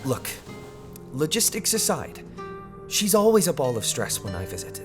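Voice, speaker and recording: Man acting an earnest, exasperated son, lively pitch. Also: moderate pace. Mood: frustrated, pleading. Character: frustrated, earnest grown son pleading with father. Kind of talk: animated comic fandub acting. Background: music.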